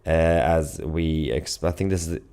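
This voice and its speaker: A man's voice: a bass voice, fairly even intonation, moderate pace, dark tone, clean tone. A man hosting a live news commentary show, speaking to viewers in a sardonic, mocking and emphatic way. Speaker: host of online political news show.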